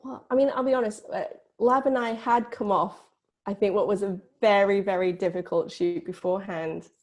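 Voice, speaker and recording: A woman's voice: medium pitch, steady tone, normal speed, a little mellow. A woman speaking as a film producer in a casual panel interview, in an informal, loosely phrased way. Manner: informal, hedging with frequent "like".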